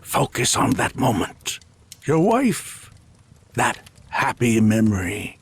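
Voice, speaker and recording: An actor playing a sinister, controlling false psychiatrist in a game, pressing and commanding. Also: male voice, medium pitch, wildly swooping pitch, takes it slowly, slightly rough, heavily acted. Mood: insistent, coaxing. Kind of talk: dramatic video game acting.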